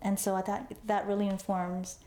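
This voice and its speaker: Interview guest: mellow, filler-heavy woman in a roomy space. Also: medium pitch, flat delivery, moderate pace. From United States, Los Angeles. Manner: chatty, lots of fillers, laughs easily. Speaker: artist guest. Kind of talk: conversational interview.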